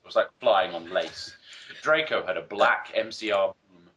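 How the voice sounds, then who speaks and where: A man's voice: low-pitched, moderate pace, a little hiss or hum. A man reading and bantering in an exaggerated style among friends, with erratic dramatic delivery. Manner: erratic, bursts into exaggerated shouts. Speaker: player in a dramatic reading game.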